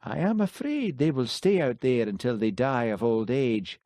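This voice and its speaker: A man as a sly, easygoing constable, lively pitch. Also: moderate pace, dry recording, studio quality, close to an everyday voice. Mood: wry. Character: easygoing, sly Scottish village constable, tall young-ish man.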